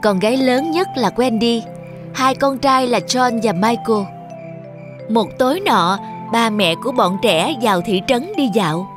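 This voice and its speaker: A woman narrates children's fairy tales in a fairly high voice. It is scripted storytelling, with music clearly playing behind her. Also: expressive, unhurried. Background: background music.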